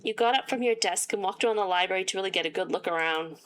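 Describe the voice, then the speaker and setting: Woman reading a game's story aloud between dry asides, voice wearing thin; mid pitch. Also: fast, a bit bright, poor audio. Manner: reads game text aloud and breaks off with sarcastic asides. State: losing her voice. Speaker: gaming YouTuber. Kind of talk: reading a visual novel aloud with commentary.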